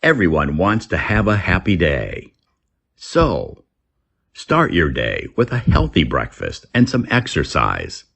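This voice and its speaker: Male English teacher, low gravelly register, lively intonation, husky, scripted read-aloud. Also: slow pace. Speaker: English teacher reading lesson texts.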